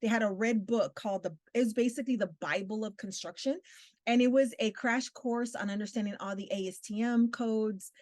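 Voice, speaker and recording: A woman's voice: on the high side, no room sound. Woman, middle-aged, Spanish first language, earnest, many fillers, dry sound. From Southern California. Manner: warm, earnest, laughs often, frequent fillers. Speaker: guest. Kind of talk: interview conversation.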